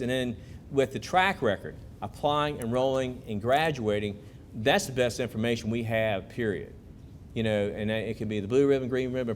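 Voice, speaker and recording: Man speaking to parents, admissions officer, conversational, asks the crowd questions, faint rumble. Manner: conversational, rhetorical questions to the audience. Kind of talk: presentation to parents with Q&A. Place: school event hall.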